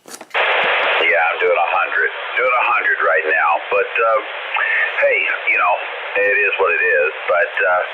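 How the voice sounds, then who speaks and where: Deep older man over noisy radio. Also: takes it slowly. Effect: two-way radio transmission. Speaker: amateur CB radio operator. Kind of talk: casual radio chat. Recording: dull, very noisy radio transmission.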